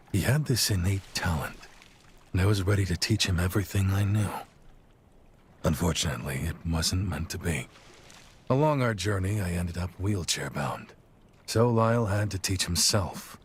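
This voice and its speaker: An easygoing, low-voiced warrior captain. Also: male voice, a little hiss or hum. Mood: wistful, sincere. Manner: easygoing, encouraging, reflective. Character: easygoing middle-aged male warrior captain and mentor, warm, encouraging. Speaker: video game character, combat mentor. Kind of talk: video game cutscene acting.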